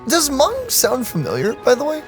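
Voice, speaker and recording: Guy streaming a game, super animated pitch, casual jokey reactions, bit of music behind. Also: moderate pace. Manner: exclamatory, joking, informal. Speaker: gaming streamer. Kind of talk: casual reactive let's play chat.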